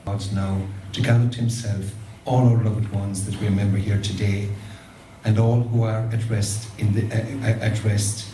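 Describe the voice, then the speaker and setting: Flat-voiced older Irish man praying. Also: fairly low pitch, moderate pace. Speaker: clergyman. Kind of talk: spoken prayer. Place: stone hall, at a lectern. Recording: echoey hall, clear background noise.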